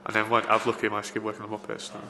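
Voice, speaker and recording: Man, low flat voice, rambling with fillers, roomy reverb, faint hiss. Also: rapid-fire. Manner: rambling, frequent fillers and restarts. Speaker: film location assistant. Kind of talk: casual interview answers. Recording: reverberant room, faint background noise.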